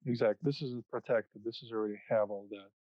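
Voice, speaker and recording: A man who grew up in the US after moving from the Soviet Union, a music producer, explaining sound technicalities in a halting way, close to a studio mic. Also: on the low side, fairly even intonation, no echo. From Soviet Union, raised in the US. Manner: halting, frequent restarts while explaining. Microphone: dynamic studio mic up close. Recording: dull, dry podcast recording.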